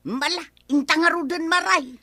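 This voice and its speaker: A woman's voice: very high-pitched, normal speed, sharp tone, no room sound, exaggerated voice. A woman with an Ilocano accent playing a brash, carefree village wife in a comedy radio drama.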